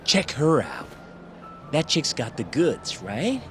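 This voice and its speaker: An actor playing a sleazy, wheedling, self-deprecating gambler in a video game, with a crude, slangy drawl. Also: male voice, mid-range voice, wildly swooping pitch, slow, a bit bright, soft background music, heavily acted. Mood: sly, wheedling. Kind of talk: video game cutscene acting.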